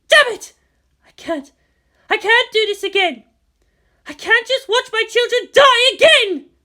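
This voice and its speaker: A woman voicing a furious, desperate female military commander in a fan fiction reading, shouting and frantic. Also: very high voice, expressive, measured pace, pitched above the performer's own voice, exaggerated voice. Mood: anguished. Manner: shouting, foul-mouthed, frantic.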